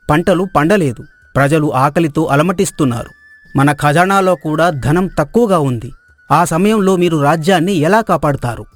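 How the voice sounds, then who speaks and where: A man's voice: a little high, no room sound, lightly acted. A man playing a stately court examiner who questions princes in a dramatised moral story.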